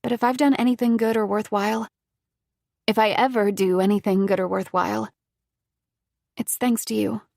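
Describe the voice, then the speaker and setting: A woman voicing an eager, earnest young woman with a bright, breathless manner. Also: mid-range voice, steady tone, moderate pace, gravelly, pauses often, no echo, clean studio sound, voice pushed up, lightly acted.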